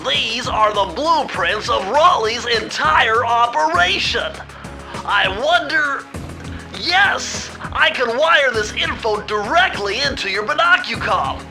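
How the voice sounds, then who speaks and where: An actor voicing a nerdy, anxious, brainy gadget-expert sidekick who talks in a wordy, fussy way in a cartoon video game. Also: male voice, extremely high pitch, expressive, slow pace, gravelly, full character voice, low-quality recording. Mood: eager explaining. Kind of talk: video game cartoon acting, explaining moves. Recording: music behind the voice.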